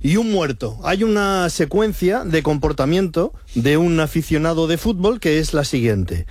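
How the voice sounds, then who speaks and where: A man giving ironic, deadpan commentary on a radio show. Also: fairly high pitch, normal speed, no echo, clean studio sound. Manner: sardonic, deadpan.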